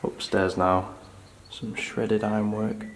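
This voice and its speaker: A British man exploring an abandoned building, talking quietly and observantly through what he finds. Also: lowish voice, little pitch movement, slow, roomy sound, slight background noise. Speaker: urban explorer host. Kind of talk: exploring walkthrough with scripted narration.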